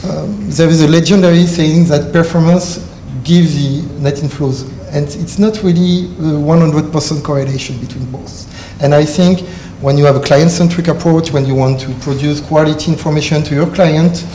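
French-accented man, higher voice, echoey hall. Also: steady tone, warm tone. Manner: halting non-native English with many filler uhs. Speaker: panelist. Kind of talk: conference panel talk. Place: conference hall. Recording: echoey conference hall with faint noise.

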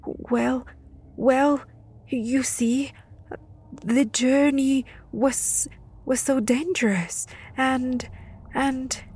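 A woman's voice: on the low side, slow pace, a little mellow. A woman voicing a frightened young boy who stammers and pleads in a storybook.

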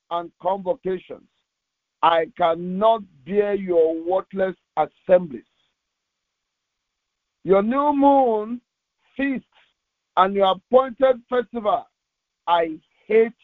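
A male pastor reading scripture and preaching in short, deliberate, emphatic phrases, heard through tinny, narrow audio. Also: high voice, no echo.

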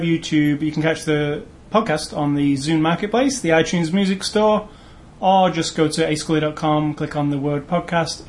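A podcast co-host chatting casually and reading out show plugs in a joking, easygoing way. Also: mid-range voice. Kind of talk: casual chat.

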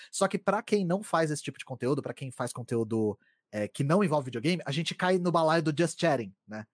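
A young man who grew up around São Paulo rattles along in quick, talkative bursts, his voice sitting a little high for a man. The sound is close and dead dry, with no room at all. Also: dry recording. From Greater São Paulo, Brazil. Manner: talkative, fast, animated storytelling. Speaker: online content creator and streamer. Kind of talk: casual conversation.

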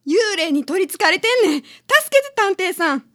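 A woman's voice: very high voice, lively intonation, normal speed, fairly bright tone. A woman playing a frightened young woman asking a detective for help in a radio drama. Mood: frightened, pleading.

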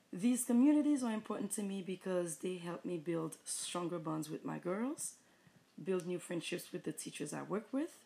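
A woman with a Haitian accent, a mother and teacher, giving earnest, measured answers in a family interview. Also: lowish voice, gravelly, dark tone. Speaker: mother, high school teacher. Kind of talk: reading prepared interview answers.

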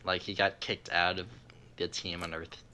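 A man's voice: low voice, little pitch movement, normal speed, sharp tone. A man co-hosting a podcast, giving his opinions on TV in informal, casual conversation. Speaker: podcast co-host. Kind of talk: casual chat about TV shows.

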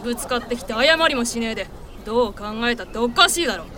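A woman voicing a brash, hot-tempered devil in a radio drama, with rough, masculine, goading speech. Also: a little high, animated, fast, sharp tone.

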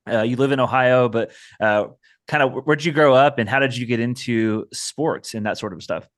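A man's voice: medium pitch, moderate pace, dry recording, studio quality. A man hosting a podcast, friendly and upbeat, interviewing a colleague over a video call. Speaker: podcast host.

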